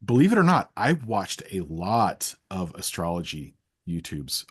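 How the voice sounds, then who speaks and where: Wry man bantering in halting bursts. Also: low-pitched, sing-song, husky. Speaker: live stream co-host. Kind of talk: casual unscripted banter.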